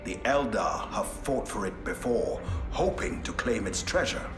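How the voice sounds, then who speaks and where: An actor playing a calm, wise space marine advisor who explains matters plainly. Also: male voice, lowish voice, unhurried, roomy sound, over music. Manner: calm, informative. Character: deep-voiced male space marine advisor, dry and wise.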